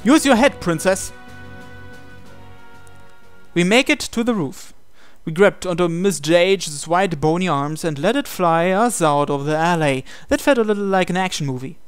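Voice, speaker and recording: A man's voice: sits high, animated, moderate pace, hardly any pauses, smooth, no echo. A man reading visual novel narration aloud in a dramatic storytelling style. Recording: game music playing behind. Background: video game music.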